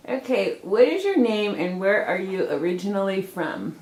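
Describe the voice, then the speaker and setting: A woman's voice: medium pitch, big pitch swings, moderate pace, a little mellow. A young woman conducting a student interview, reading prepared questions with brief reactions. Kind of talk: casual interview. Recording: echoey room.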